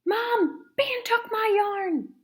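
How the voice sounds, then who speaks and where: Woman as a high-voiced bossy tattling sister. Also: drawn-out words, exaggerated voice. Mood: accusing. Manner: accusing, pointed. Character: Nancy, bossy suspicious older sister, tattling girl. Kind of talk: children's storybook read-aloud character voice.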